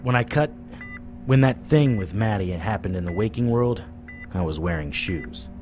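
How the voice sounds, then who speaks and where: An actor playing a world-weary supernatural private eye, narrating an audio drama in a wry, deadpan way. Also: male voice, lowish voice, moderate pace, faint music behind, close to an everyday voice. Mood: brooding, grim. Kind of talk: noir first-person audio drama narration.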